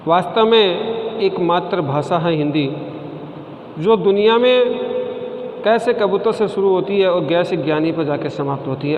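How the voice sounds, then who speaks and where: High-voiced man declaiming slowly in an echoing hall. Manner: declamatory, chants repeated refrains with rising emphasis. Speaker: speaker at a college Hindi Day function. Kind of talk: formal stage speech with poem recitation. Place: event at a college courtyard. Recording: echoey, dull lo-fi sound with long reverb and faint hiss.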